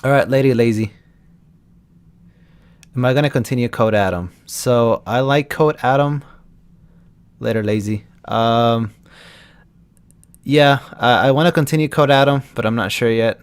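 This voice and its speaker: Smooth-voiced young man from Texas. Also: mid-range voice, a little slow, pauses often. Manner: chats loosely, answering viewers' questions as they come. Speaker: voice actor streaming a Q&A. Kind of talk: casual live-stream chat.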